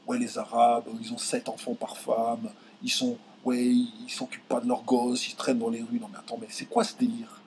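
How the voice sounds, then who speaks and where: Heated young guy from france venting to camera, trips over words, goes shrill when he's mad, bit of background hiss. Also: completely flat delivery, normal speed, darkish tone, poor audio. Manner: indignant, voice jumps high when outraged, many restarts. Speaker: video commentator. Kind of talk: heated direct-to-camera rant.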